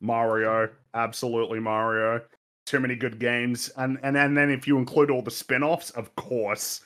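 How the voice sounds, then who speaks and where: A man's voice: medium pitch, flat delivery, moderate pace, fairly bright tone. A man co-hosting a casual stream chat, speaking mildly and hesitantly with many fillers. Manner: hesitant, lots of fillers, mild. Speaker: co-host.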